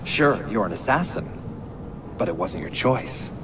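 A blunt, probing man with a lowish voice. He questions deliberately and unsettlingly, with clear noisy ambience around him. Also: expressive. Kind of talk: video game drama dialogue.

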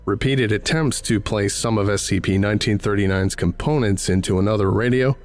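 A man with a fairly low voice narrates from a script. His delivery is formal and measured, with the clinical tone of a documentary report. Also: faint music behind.

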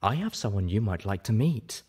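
A man voicing a refined, polite female unicorn with a smooth, warm voice in an audiobook. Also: on the low side, very animated, moderate pace. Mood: gentle.

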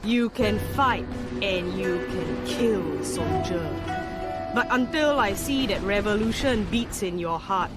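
Fervent rebel commander, formal, declamatory, medium pitch, game cutscene, music behind. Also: female voice, animated, slow. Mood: stern, fervent. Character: stern, fervent female revolutionary leader. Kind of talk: video game cutscene acting.

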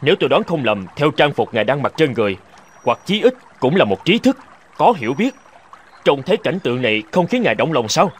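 An actor playing a self-righteous young scholar in a cartoon folk tale, pompous and argumentative. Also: male voice, medium pitch, sing-song, fairly quick, a little hiss or hum, natural voice. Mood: offended, pompous. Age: young adult. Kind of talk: animated folk tale voice acting.

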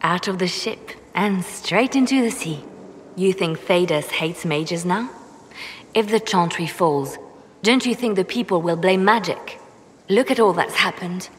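An actress playing a hooded female spymaster in a fantasy video game, speaking in a measured, persuasive way. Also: fairly low pitch, big-room sound, a little hiss or hum, lightly acted. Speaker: advisor.

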